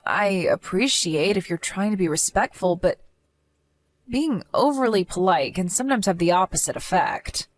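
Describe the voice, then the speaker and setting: A woman's voice: medium pitch, lively intonation, moderate pace, lightly acted. A woman voicing a protective young sister-in-law who speaks in an earnest, reassuring manner. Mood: caring.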